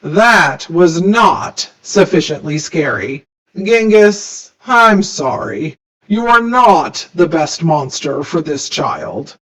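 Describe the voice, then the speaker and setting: A woman voicing a stern, formal male monster judge in a children's storybook, speaking in a pompous, deliberate way. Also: sits low, animated, fairly bright tone, exaggerated voice. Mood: solemn, formal.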